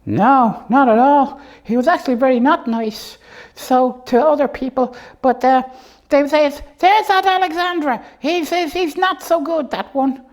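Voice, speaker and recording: A woman's voice: high-pitched, unhurried, a little mellow. A woman voicing a bossy, childlike know-it-all puppet who rambles through muddled stories in an improvised comic show. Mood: storytelling.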